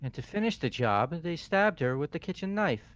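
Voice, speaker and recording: Man playing a lively-pitched male student. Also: normal speed. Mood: tense. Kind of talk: fan voice acting of a video game script.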